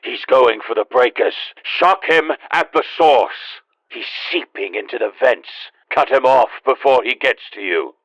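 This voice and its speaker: An actor playing a grave, urgent guide in a video game, husky and heard through a radio filter. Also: male voice, medium pitch, expressive, unhurried, fairly bright tone, no room sound, rough recording. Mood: urgent, stern. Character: grave, urgent male guide helping the player.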